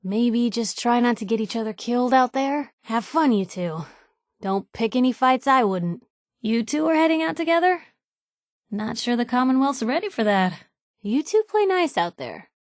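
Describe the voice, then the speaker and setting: A woman's voice: on the high side, lively intonation, normal speed, no room sound, studio quality, close to an everyday voice. A woman voicing a sardonic, outspoken young newspaper reporter companion in a video game, in a wry conversational way. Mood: wry, friendly.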